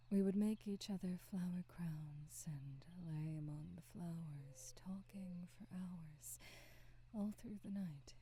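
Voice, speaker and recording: Wistful, gentle witch for ASMR roleplay, female performer: lowish, very soft and dark, breathy whispering, motherly, old-fashioned wording. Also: heavily acted. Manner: slow, tender, old-fashioned formal phrasing.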